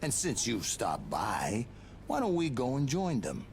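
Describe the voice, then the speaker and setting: A man's voice: mid-range voice, huge pitch swings, a little slow, faint music behind. An actor playing a cocky, mocking gunman threatening someone in a video game. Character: cocky male mob gunman, sneering.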